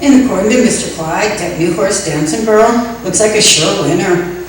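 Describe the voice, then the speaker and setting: A woman's voice: medium pitch, normal speed, close to an everyday voice. A woman playing a blunt, feisty older matron, tart and no-nonsense, in an old-time radio comedy. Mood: matter-of-fact. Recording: echoey room, distant mic, faint noise.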